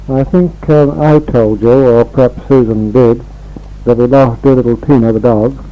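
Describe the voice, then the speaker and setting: A man's voice: medium pitch, a little slow, soft background music. An English man in his sixties rambling in a homely way as he records a casual spoken letter to family on an old home tape. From England. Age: 60s. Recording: dull 1960s home reel-to-reel tape.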